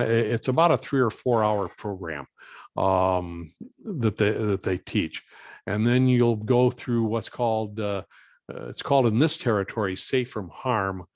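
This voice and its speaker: A low-pitched man answers questions as a guest presenter. He is plain-spoken and halting, working through his explanation step by step, and the sound is close and dry. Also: no room sound. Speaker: guest presenter and volunteer coordinator. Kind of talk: informal question-and-answer after a talk.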